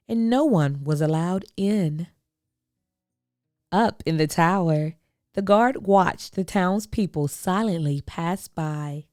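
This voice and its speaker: A woman reading a children's storybook aloud, expressively, with deliberate phrasing and dramatic pauses. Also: lowish voice, darkish tone, dry recording, clean studio sound. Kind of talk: expressive picture-book read-aloud.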